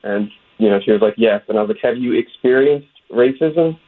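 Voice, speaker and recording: A man's voice: mid-range voice, brisk. Man talking on a tinny phone line. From West Virginia, USA. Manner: earnest, recounting with quoted back-and-forth. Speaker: guest, comedian. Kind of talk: phone-in interview.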